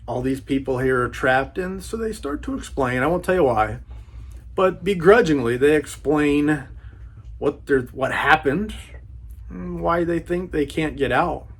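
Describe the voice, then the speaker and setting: A man's voice: fairly high pitch, expressive, a little slow, slightly rough. A man giving a casual book review as a channel host, enthusiastic, with a laid-back, slangy way of talking.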